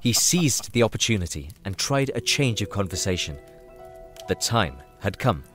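A man's voice: fairly low pitch, sing-song, moderate pace, fairly bright tone. A man giving scripted story narration for an audio drama. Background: music.

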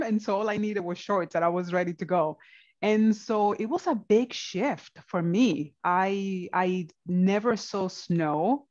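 A woman in her forties from the Dominican Republic, telling her own story openly as an interview guest over a video call from a home office. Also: mid-range voice. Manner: open, animated, laughs while talking. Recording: dull lo-fi video call audio.

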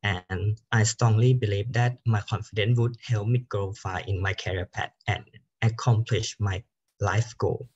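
Low husky young man. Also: measured pace, highs cut off. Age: 20s. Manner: steady, prepared, formal. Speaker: student. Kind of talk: rehearsed job interview answers. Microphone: video call.

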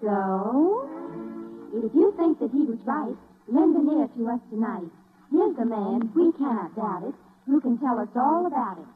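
A woman's voice: a little high, sing-song, a little slow. A woman playing a coy young showgirl who talks in rhyme on a 1930s radio revue. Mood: sing-song, perky. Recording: tinny 1930s radio recording with music.

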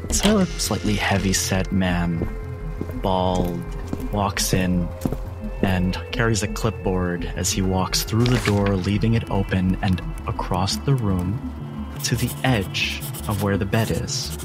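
A man's voice: deep voice, fairly even intonation, slow. A man narrating a tabletop roleplaying game as the game master. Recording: music bed under the voice. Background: background music.

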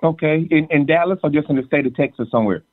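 A man's voice: on the high side, fast talker, no room sound. A man from Jamaica co-hosts a church-minded podcast and jokes and teases his guest in casual chat. He often repeats words as he goes. His voice comes through a narrow, tinny line with no room sound. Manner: joking, teasing, repeats words. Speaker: podcast co-host.

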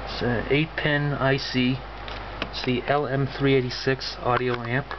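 A man's voice: mid-range voice, flat delivery, a little slow, background noise. A man giving a casual hands-on demo of a modified gadget, talking informally into a handheld camera indoors. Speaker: hobbyist demonstrating a modified gadget. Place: indoor room. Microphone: handheld camera mic. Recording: dull lo-fi camera, loud music behind. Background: music and playback from the gadget.

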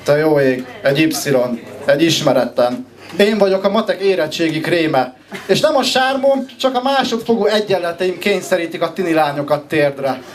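A man in his twenties from Hungary performing rhythmic, rhyming slam poetry with a wry, ironic delivery and pauses before his punchlines. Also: a little high, animated. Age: 20s. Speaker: slam poet, contestant.

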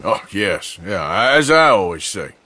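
Dry american cowboy adventurer, game cutscene, bright punchy voice, pitch moves all over, awkward and wry. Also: male voice, big pitch swings, a little slow, heavily acted. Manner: hesitant, understated, wry. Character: laconic, awkward, dry-witted male American adventurer outlaw. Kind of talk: video game character acting.

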